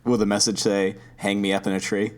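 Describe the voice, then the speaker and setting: A man's voice: fairly low pitch, little pitch movement, fairly quick, a little hiss or hum. A man co-hosting a podcast in casual chat, teasing his partner and laughing a lot. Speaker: podcast co-host.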